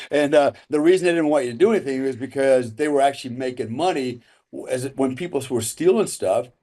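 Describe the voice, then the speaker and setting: A man's voice: medium pitch, a little fast, dark tone. A man, a podcast guest, telling a rambling story with chuckles along the way. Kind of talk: casual storytelling.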